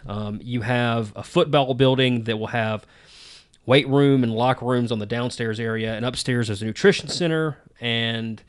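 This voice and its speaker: A relaxed man hosting a laid-back podcast, talking at an even, middling pitch. He chats in an easygoing way with frequent ums and uhs. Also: normal speed, clean tone. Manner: relaxed, easygoing, many fillers. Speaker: podcast host. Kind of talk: casual podcast chat.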